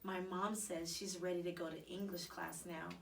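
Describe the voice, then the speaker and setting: A woman voicing a shy, gentle schoolgirl from a storybook, soft and polite. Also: fairly low pitch, level tone, moderate pace, creaky, recorded from a distance, a little hiss or hum, pitched below the performer's own voice. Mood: soft, grateful.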